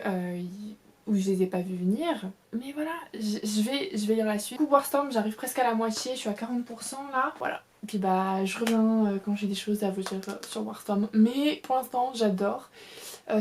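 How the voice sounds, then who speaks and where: A young woman talks through her current reads in a relaxed vlog, chatty and animated. She gushes, hesitates with fillers and circles back on herself. Her pitch sits in a middle range for a woman. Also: brisk. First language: French. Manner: chatty, enthusiastic, many fillers and restarts. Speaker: book vlogger. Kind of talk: casual reading vlog chat.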